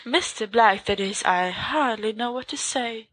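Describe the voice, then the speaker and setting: A woman's voice: fairly high pitch, unhurried, a bit bright, rough recording. A woman voicing a severe older witch professor who speaks in a clipped, dryly ironic way.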